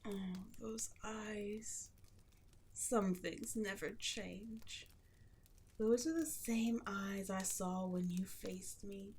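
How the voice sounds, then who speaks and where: Woman acting as a demon queen, slow theatrical delivery, seductive, imperious, menacing, breathy whispered touches, audio roleplay monologue. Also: medium pitch, fairly even intonation, darkish tone, a little hiss or hum. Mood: gloating, possessive.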